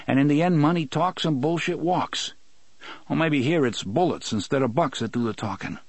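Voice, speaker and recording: A man voicing a tearful young man who is angry and pleading, speaking in a quick, strained voice raised above the narrator's own. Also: fairly high pitch, no room sound, voice pushed up. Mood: bitter, pleading. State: crying.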